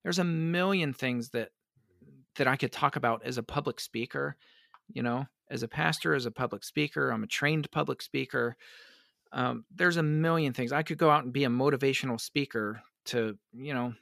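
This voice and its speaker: A man, a pastor, answering interview questions in an earnest, reflective way, his voice worn hoarse by allergies. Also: mid-range voice, moderate pace, a bit bright, dry recording, clean studio sound. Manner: earnest, reflective, many restarts. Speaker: pastor and advocate.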